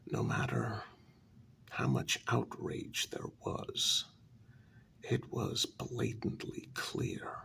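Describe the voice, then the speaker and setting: A man playing a grave, troubled asylum staffer in a soft-spoken ASMR role-play, telling a dark story in slow, halting phrases with a breathy, gravelly half-whisper. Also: medium pitch, a little hiss or hum, exaggerated voice. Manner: slow, halting, deliberate short phrases with long pauses.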